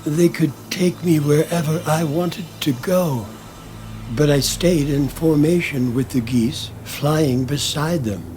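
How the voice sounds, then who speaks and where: A man telling a bedtime story to help children fall asleep, speaking very slowly in a soft and soothing way. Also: fairly high pitch. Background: soft music.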